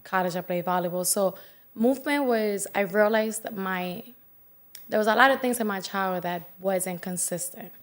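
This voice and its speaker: A young woman from the Dominican Republic, a wellness coach talking as a podcast guest, quick and chatty with lots of "like.". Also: medium pitch. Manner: quick, chatty, many "like" fillers. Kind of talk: casual interview chat.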